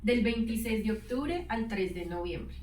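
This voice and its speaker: A woman's voice: fairly high pitch, animated, moderate pace, darkish tone. A woman in her thirties, a native English speaker, telling a personal testimony as a guest, with many hesitant fillers. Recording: roomy, distant mic, faint background noise.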